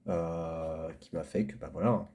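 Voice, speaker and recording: A man co-hosting a casual podcast, relaxed and agreeable, talking loosely with fillers. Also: low voice, measured pace. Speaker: podcast co-host. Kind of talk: casual chat.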